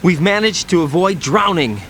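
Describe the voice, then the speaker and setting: A man's voice: sits high, expressive, moderate pace, a bit bright, a little hiss or hum. A man playing an earnest, dutiful young operative in a dramatic video game cutscene.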